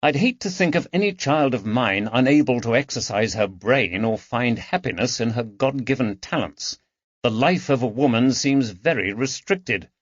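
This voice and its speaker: Man reading as an earnest gentleman detective, dull dry recording. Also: medium pitch, lively intonation, no echo, close to an everyday voice. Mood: earnest, worried. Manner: educated, measured, reflective speech. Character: earnest, thoughtful gentleman detective, a new father.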